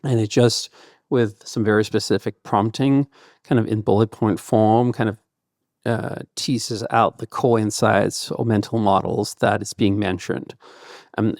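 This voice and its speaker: A man's voice: on the low side, steady tone, normal speed, darkish tone, no echo, studio quality. A man whose first language is Danish, speaking loosely and thoughtfully as a guest in a podcast interview, with lots of "kind of" and "like". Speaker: guest entrepreneur.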